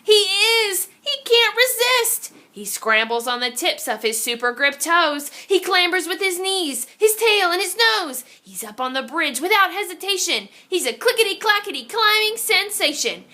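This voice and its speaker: A woman's voice: very high voice, sing-song, moderate pace, few pauses, sharp tone. A woman reading a children's picture book aloud in a theatrical, suspenseful way, drawing out her exclamations. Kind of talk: animated children's story read-aloud.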